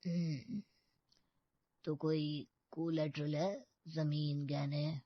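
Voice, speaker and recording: A woman's voice: sits low, little pitch movement, drawn-out words, dry recording, muffled recording, heavily acted. A woman voicing a dismissive, mocking male bank officer in a story cartoon.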